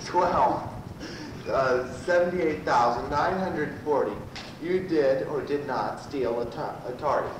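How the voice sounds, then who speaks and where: A man playing a pompous, badgering prosecutor in an amateur radio comedy, with a stumbling, halting delivery. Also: medium pitch, natural voice. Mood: accusing. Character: pompous, badgering male prosecuting lawyer in sci-fi parody. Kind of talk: amateur old-time radio comedy acting. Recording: roomy, distant, noisy amateur live recording.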